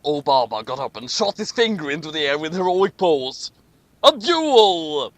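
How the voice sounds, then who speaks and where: A man's voice: on the high side, animated, a little fast, dry recording. A man giving a comedic, theatrical story reading with commentary, often stumbling over his words. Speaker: host reading a story aloud.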